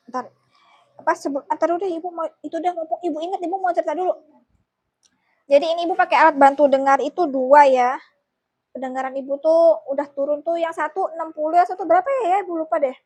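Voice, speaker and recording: A woman's voice: high voice, a little mellow. A middle-aged woman, chatting informally and giggly to viewers and family in a casual home vlog. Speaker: family vlogger and mother.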